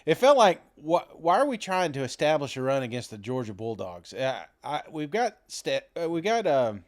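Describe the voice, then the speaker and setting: Male sports podcast co-host, fairly high register, folksy, rambling and self-deprecating. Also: sing-song, moderate pace. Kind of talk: casual sports talk.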